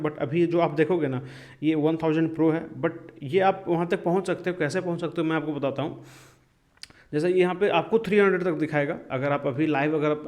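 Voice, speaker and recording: A man's voice: on the high side, darkish tone. A man from India giving a casual step-by-step tutorial in a conversational, explanatory way, often checking in with the listener. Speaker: tech tutorial video host.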